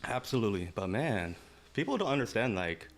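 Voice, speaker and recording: A man's voice: on the low side, sing-song, brisk. A young man co-hosting a podcast, talking in a laid-back, rambling way and laughing often. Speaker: podcast co-host. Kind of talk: casual chat.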